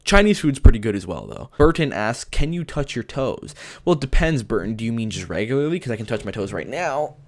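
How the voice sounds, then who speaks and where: A young man with a noticeable lisp chats loosely to his webcam, joking and laughing as he answers viewer questions. His voice sits at an ordinary middle pitch, and he talks in a relaxed, easygoing way from a bedroom. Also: fairly quick. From Canada. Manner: chatty, joking, laughs often. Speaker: YouTube gaming channel host. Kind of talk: casual Q&A vlog.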